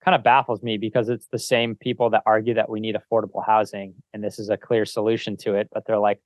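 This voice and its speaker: A man's voice: lowish voice, flat delivery, fast, no echo. A man hosting an interview podcast in a casual, conversational style. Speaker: podcast host.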